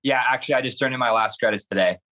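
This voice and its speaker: An eighteen-year-old man, a guest on a casual podcast, who talks in a quick, upbeat way. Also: mid-range voice, bright, forceful tone. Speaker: guest, amateur racer.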